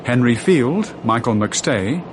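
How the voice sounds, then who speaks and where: A man's voice: mid-range voice, expressive, takes it slowly, background noise. A man reading scripted cast credits as a radio announcer.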